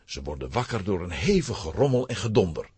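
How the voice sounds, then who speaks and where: A man narrating a children's adventure audio drama in an animated, suspenseful manner. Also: low-pitched, brisk, breathy edge, no echo, heavily acted.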